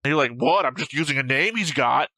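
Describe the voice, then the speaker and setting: A man's voice: a little high, animated, fast talker, pushed and bright, no room sound. A man co-hosting an anime show in casual, opinionated discussion, argumentative and emphatic, swearing freely. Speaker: co-host of an anime reaction show.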